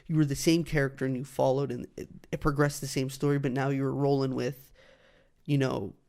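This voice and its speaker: Guy just chatting on a podcast, bit of gravel and fry in his voice. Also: medium pitch, level tone, brisk, darkish tone. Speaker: podcast co-host. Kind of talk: casual chat about video games.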